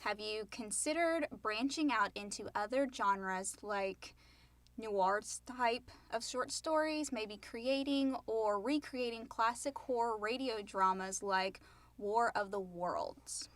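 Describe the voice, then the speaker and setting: A woman's voice: on the high side, slow pace, a bit bright, dry recording. A middle-aged woman chatting casually as a podcast co-host. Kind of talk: casual Q&A chat. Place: home podcast studio.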